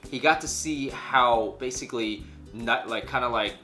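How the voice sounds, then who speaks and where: A man's voice: mid-range voice, normal speed, faint music behind. A man, co-hosting a casual chat, retelling a story in slangy speech and acting out quotes. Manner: animated, slangy, acts out imagined quotes. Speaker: co-host of a news chat show. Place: small furnished room indoors, on a couch.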